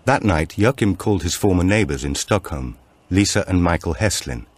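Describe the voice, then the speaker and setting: A man with a low voice narrates a suspense novel in a measured, dramatic way. His intonation moves widely, and the recording is dry and close, with no room sound. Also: expressive, no room sound. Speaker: audiobook narrator. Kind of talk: scripted narration of a suspense thriller.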